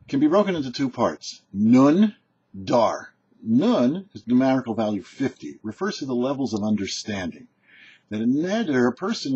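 An older man, a rabbi in his sixties, giving a short, deliberate religious lesson in an explanatory, didactic way. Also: mid-range voice, darkish tone, breathy edge. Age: older, about 60s. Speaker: rabbi hosting a weekly Torah portion show. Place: indoor home office or study. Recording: muffled, dull webcam audio.

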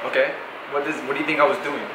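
Young guy explaining stuff, earnest, kinda higher voice, echoey n noisy hallway recording. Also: level tone, rapid-fire. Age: young adult. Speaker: friend of the vlogger. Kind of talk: casual explaining and questioning. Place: school hallway. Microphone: handheld camera.

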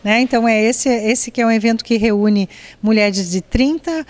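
A woman's voice: a little high, moderate pace, a little mellow. Enthusiastic Brazilian woman organizer. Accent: Brazilian Portuguese. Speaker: event organizer. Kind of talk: casual interview chat. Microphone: handheld microphone.

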